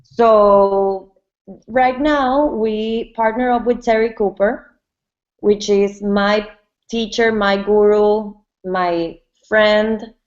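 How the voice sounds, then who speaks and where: Fast-bursting woman from Venezuela, lo-fi sound. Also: mid-range voice, fairly even intonation. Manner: repeats words and restarts often, speaks in quick bursts. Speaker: yoga brand co-founder, guest. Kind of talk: casual interview answers. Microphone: webinar call mic. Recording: dull lo-fi webinar audio.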